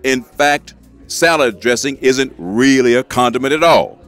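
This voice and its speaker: A man's voice: mid-range voice, unhurried, a bit bright, faint music behind, close to an everyday voice. A man playing an exasperated, sarcastic restaurant customer in a comedy audio sketch, growing indignant at the service.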